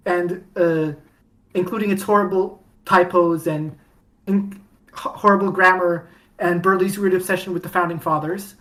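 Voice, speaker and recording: A woman's voice: on the low side, rough recording. Rambling woman fan podcaster. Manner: rambling, many restarts and fillers, laughs often. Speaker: podcast co-host. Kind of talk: casual fandom chat.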